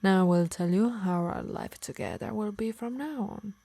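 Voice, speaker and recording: A woman voicing a possessive, doting yet menacing harpy in an intimate ASMR roleplay, teasing and coaxing softly, often in whispers. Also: mid-range voice, moderate pace, no room sound, natural voice. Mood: possessive, controlling. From Italy. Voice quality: breathy, soft. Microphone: close, dry microphone.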